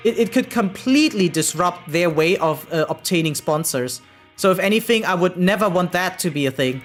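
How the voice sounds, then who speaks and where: Animated woman streamer over game music. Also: on the low side, normal speed. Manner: emphatic, opinionated, informal with slang and swearing. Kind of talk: casual livestream commentary and reaction.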